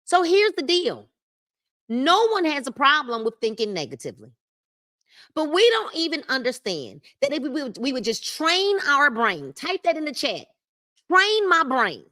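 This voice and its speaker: Bright, lively woman leading a book club. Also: high voice, normal speed. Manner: emphatic, repeats key phrases and speaks to the chat. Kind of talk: reading a self-help book aloud with commentary on a live stream. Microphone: livestream mic.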